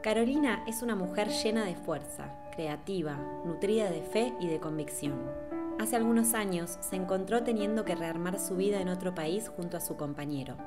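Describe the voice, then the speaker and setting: Woman podcast host reading scripted intro, slightly low voice, clear music bed behind. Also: moderate pace.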